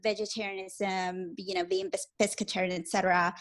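A woman's voice: medium pitch, level tone, brisk. Upbeat woman from Peru, interview guest. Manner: upbeat and earnest, explaining with fillers. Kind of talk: casual interview.

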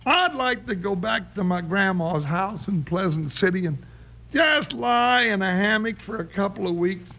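An American actor playing a whiny, put-upon cowboy deputy sidekick in an old Western radio show, with a gravelly, folksy, complaining delivery. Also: male voice, lively intonation, moderate pace, sharp tone, exaggerated voice. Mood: grumbling. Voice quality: gravelly, creaky high voice. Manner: complaining, folksy drawling grumble. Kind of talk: 1950s Western radio acting. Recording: noisy old radio recording.

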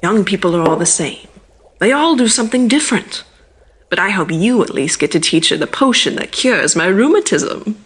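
A woman voicing a chatty, nostalgic elderly townswoman with a fussy, exclaiming manner in an animated film fandub. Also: medium pitch, normal speed, husky. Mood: wry. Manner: fussy, exclaiming old-lady delivery.

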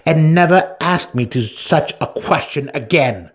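A man voicing a tiny, strong dark elf matriarch, scheming and menacing, with a commanding manner, in a fantasy story reading. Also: fairly high pitch, lively intonation, measured pace, voice pushed up. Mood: threatening. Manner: commanding, threatening.

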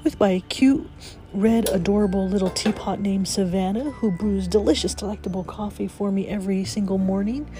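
A woman's voice: mid-range voice, steady tone, moderate pace, mellow, faint music behind. A woman hosting her morning podcast, sniffly from a cold, chatting cheerfully and laughing often. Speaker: podcast host. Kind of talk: casual morning chat.

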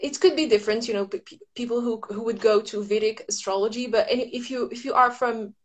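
A woman from Morocco talks casually and reflectively as an astrology practitioner, often pausing to search for the right words. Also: fairly high pitch, steady tone.

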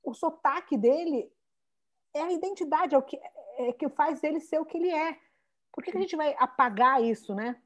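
A Brazilian-born woman in her thirties, telling stories with lots of restarts in a relaxed interview. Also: sits high, moderate pace. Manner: animated storyteller, many restarts and "né". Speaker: founder of a Portuguese school for children. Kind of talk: conversational interview.

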